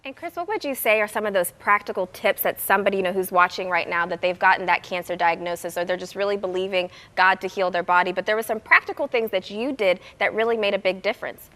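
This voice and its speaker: Quick, bright woman host with reverb. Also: medium pitch. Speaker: TV show host. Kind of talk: broadcast interview hosting.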